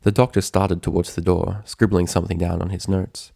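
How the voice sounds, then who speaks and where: A man's voice: low-pitched, flat delivery, brisk, creaky, mellow, no room sound, studio quality. A man reading a story aloud as a scripted narration. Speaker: fan fiction narrator.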